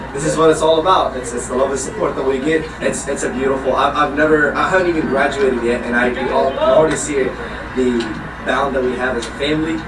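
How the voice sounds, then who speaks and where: A man from Iraq, a police recruit, giving an earnest, informal testimonial and repeating his phrases. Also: medium pitch. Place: community soccer event. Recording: distant, echoey, very noisy location sound. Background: loud ambient noise.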